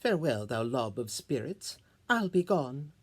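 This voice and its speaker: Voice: medium pitch, sing-song, a little slow. A solo reader voicing a nimble fairy servant in lilting, theatrical Shakespearean verse. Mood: airy, lively.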